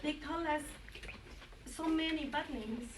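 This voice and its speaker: A woman, English her third language, giving halting, emotional testimony at a community forum. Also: sits high. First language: Nahuatl. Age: 40s. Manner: halting, searching for words. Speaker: forum speaker. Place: community center meeting room. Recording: echoey room, far mic, noisy.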